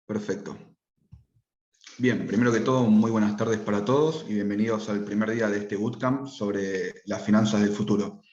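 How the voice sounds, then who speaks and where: A man's voice: fairly low pitch, steady tone, moderate pace, a little mellow, reverberant, highs cut off, a little hiss or hum. A man with an Argentine accent starting an online class in an orderly, formal way. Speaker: course instructor.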